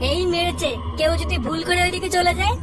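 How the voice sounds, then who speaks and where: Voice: very high voice, level tone, fast, loud music behind the voice. পিচ বাড়ানো গলায় একজন অভিনয়শিল্পী কার্টুন কমেডির এক সহজে ঘাবড়ে যাওয়া, গালিবাজ তরুণ পাড়ার ক্লাব-নেতার চরিত্র করছে।. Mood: flustered. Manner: crude slangy, easily flustered. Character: flustered crude young club leader in cartoon. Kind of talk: exaggerated cartoon comedy dialogue. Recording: distant, noisy sound.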